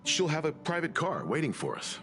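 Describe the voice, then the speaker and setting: An actor playing a courteous, measured male companion relaying messages in an anime game. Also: on the high side, wildly swooping pitch. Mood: informative. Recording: faint music behind.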